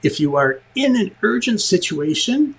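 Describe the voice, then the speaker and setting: Guy hosting a how-to, bit raspy, voice on the high side, emphatic and jokey. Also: sing-song, moderate pace. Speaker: instructor and show host, film director. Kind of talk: instructional presentation, conversational.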